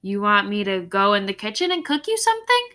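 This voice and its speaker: A woman's voice: medium pitch, big pitch swings, a little fast, bright, forceful tone. A woman reading the part of a patient, puzzled father who coaxes and questions his daughter in a storybook. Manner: questioning, coaxing.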